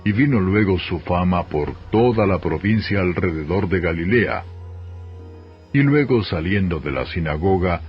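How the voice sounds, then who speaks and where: A man with a Castilian Spanish accent reads scripture as a solemn, measured, formal narration. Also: low voice. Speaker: Bible reader. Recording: dull lo-fi audio, music behind. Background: music.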